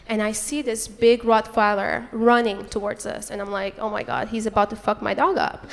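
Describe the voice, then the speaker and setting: Give me a brash Portuguese-born woman comic on stage, slightly high voice, with long reverb and steady room noise behind her. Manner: brash, crude punchlines with quick crowd asides. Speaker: stand-up comedian. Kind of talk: stand-up comedy set. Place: comedy club stage. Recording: live club recording with long reverb. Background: audience laughter and chatter.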